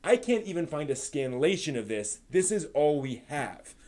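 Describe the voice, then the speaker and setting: A man hosting a live stream, giving a manga review in an articulate, expressive way. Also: a little high, normal speed. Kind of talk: manga review on a live stream, part prepared, part casual chat.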